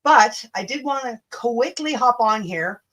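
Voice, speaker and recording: A woman's voice: a little high, animated, a little slow, a bit bright. A Canadian woman hosting a casual, rambling livestream, sarcastic and sweary, drawing out words for emphasis. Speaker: livestream host. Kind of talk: casual, rambling livestream chat with mocking asides.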